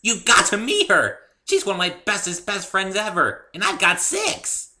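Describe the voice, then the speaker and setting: Man doing a hyperactive girl pony, high pitched, forceful and bright, bubbly, exclaiming, cartoon storybook character. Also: wildly swooping pitch, moderate pace, full character voice. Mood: giddy, thrilled. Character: hyperactive, giddy young female cartoon pony.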